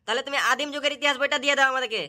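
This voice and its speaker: A woman's voice: high-pitched, fairly even intonation, very fast, bright, forceful tone. A woman voicing a sassy young schoolboy customer in a comedy cartoon, with indignant, mocking delivery. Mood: coaxing. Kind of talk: comic cartoon dubbing.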